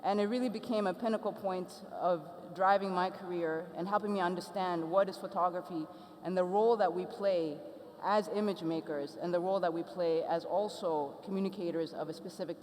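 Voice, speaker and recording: A woman's voice: on the low side, a little mellow. Earnest Ethiopian-accented woman in a hall. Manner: earnest, reflective, with frequent fillers. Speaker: festival speaker. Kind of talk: conference talk. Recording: reverberant hall.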